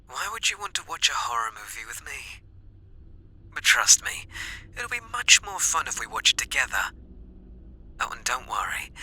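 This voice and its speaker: A British man playing an obsessive, seductively playful masked killer, taunting in whispery, drawn-out questions close to the mic in an ASMR roleplay. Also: mid-range voice, sharp tone, slightly rough, exaggerated voice, poor audio. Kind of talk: ASMR roleplay, intimate monologue. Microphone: close microphone.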